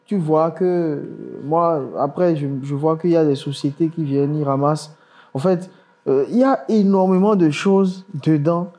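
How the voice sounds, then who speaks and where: Male podcast host, curious and questioning, slightly higher mellow voice. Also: moderate pace. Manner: curious, questioning, thinks aloud with fillers. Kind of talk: casual podcast conversation.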